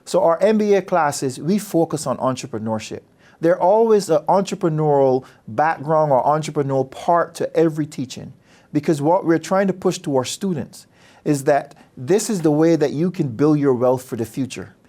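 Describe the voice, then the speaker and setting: A man from Barbados, a business school dean speaking about his program in a measured, earnest, persuasive way. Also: on the high side, lively intonation, dark tone. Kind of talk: promotional interview talk.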